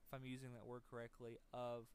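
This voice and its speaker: A man giving casual, unscripted video game commentary, speaking haltingly, hedging and repeating words as he thinks. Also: mid-range voice, monotone, darkish tone, a little hiss or hum. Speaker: let's play commentator.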